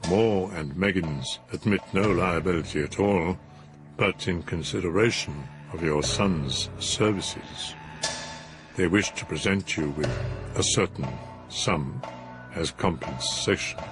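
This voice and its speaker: A man doing a hushed formal messenger. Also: deep voice, slow pace, over music. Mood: solemn. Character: grave uneasy male messenger, formal and stiff.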